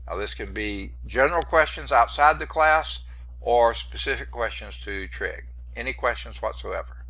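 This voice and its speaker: A hoarse, congested man teaching a math class over a video call, narrating his screen setup in a rambling, self-deprecating way. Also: medium pitch, moderate pace, sharp tone. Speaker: math instructor. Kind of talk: online class lecture. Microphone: computer mic on a video call.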